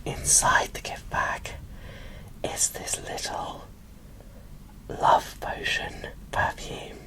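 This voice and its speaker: Slow, whispering woman in ASMR style. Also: fairly bright tone, low-quality recording. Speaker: video creator presenting a doll unboxing. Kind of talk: whispered ASMR unboxing commentary.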